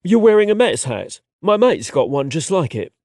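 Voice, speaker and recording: A man's voice: high voice, big pitch swings, normal speed, a little mellow, no room sound, studio quality, voice pushed up, exaggerated voice. A man voicing a cheeky young British man with a London accent, wry and friendly.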